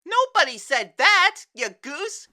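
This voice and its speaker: A woman's voice: extremely high pitch, sing-song, slow pace, pushed and bright, heavily acted. A woman voicing a cheerful, childish male guildmaster Pokémon in a fan comic dub, bubbly and teasing. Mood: playful.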